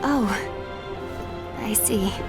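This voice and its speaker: An actress playing a reserved, dutiful apprentice in a game cutscene, speaking formally and earnestly. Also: female voice, medium pitch, very slow, over loud music. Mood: uneasy, deferential. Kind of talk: animated game cutscene acting.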